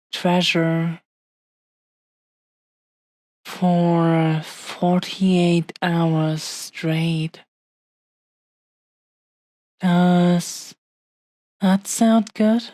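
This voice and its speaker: A woman plays a dragon-blooded woman who dotes on her beloved like hoarded treasure. Her voice is lowish and soft-toned, drawn out very slowly, in an intimate, soothing romance roleplay. She is tender and possessive. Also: steady tone, no echo. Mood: coaxing, affectionate. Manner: slow, lingering, soothing, affectionate delivery with long pauses.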